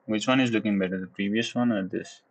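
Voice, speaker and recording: A man's voice: on the low side, fairly even intonation, fast. Young Nepali man humming while coding. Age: young adult. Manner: thinks aloud, hums la-la while working; laid-back, chuckles, switches into Nepali. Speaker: livestreamer learning web coding. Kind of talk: casual live coding commentary.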